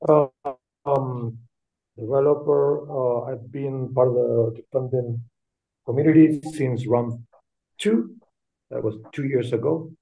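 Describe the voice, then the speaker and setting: Halting man on a phone-like line. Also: mid-range voice, steady tone. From Argentina. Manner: halting, hesitant delivery. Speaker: developer, meeting participant. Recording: very thin phone-like call audio.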